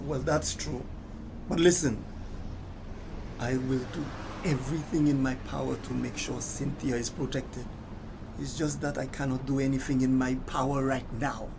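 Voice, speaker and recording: A man's voice: medium pitch, steady tone, moderate pace, noisy background, natural voice. Man acting a hesitant, defensive Caribbean policeman. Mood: measured, resigned. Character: evasive adult policeman, a conflicted family friend. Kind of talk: TV drama dialogue. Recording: music clearly behind the voice.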